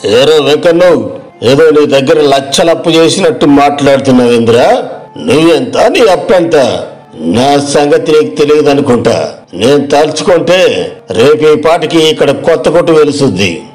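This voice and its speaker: A man acts out a boastful village debtor in a moral tale. His voice sits a little high, haughty and blustering, with music playing underneath. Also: animated, normal speed, a little mellow, natural voice, rough recording. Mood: threatening, scornful. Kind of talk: acted moral story dialogue.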